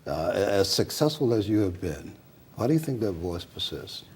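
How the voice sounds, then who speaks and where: A man's voice: low-pitched, big pitch swings, moderate pace, breathy edge. A man hosting a conversational interview, probing with questions that he restarts and repeats as he goes. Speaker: talk show host, interviewer.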